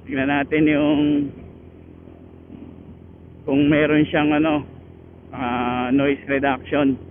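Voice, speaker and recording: A Filipino man talks casually as a gear vlogger. His pace is slow and unhurried, with English words mixed in. There is some noise behind him, like vehicle ambience. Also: mid-range voice, narrow, tinny audio. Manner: informal, chatty, mixes in English words. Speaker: vlogger reviewing motorcycle gear. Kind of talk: casual product demo vlog.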